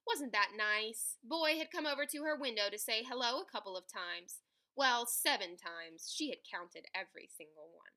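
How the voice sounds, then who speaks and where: A woman reading a children's picture book aloud in an animated, dramatic way, stretching key words for emphasis. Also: fairly high pitch, moderate pace. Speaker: read-aloud storyteller.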